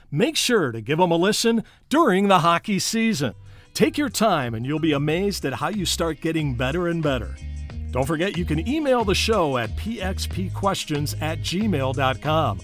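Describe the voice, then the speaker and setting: An American man reads a podcast sign-off in a high, lively voice that moves up and down a lot. Light music plays behind him as he addresses listeners as the host. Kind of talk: scripted podcast outro. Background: music bed.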